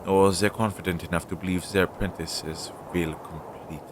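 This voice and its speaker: A man's voice: steady tone, moderate pace, lightly acted. A man playing an anxious, self-doubting magical familiar in an audio roleplay, speaking hesitantly and fretfully to his master. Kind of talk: scripted audio roleplay, one-sided dialogue. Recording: low-fidelity audio with clear background noise.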